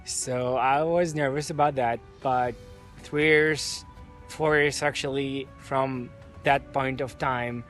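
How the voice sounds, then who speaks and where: A man's voice: mid-range voice, fairly even intonation, slow, fairly bright tone, soft background music. A man in his twenties with an Israeli accent who speaks hesitantly and thoughtfully in an interview. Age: 20s.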